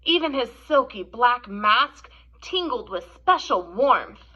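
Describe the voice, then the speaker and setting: Slow, high-pitched woman reading a storybook. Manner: slow, expressive, teacherly. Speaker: storybook reader. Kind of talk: read-aloud storytelling for young children, with asides to listeners. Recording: dull, lo-fi sound.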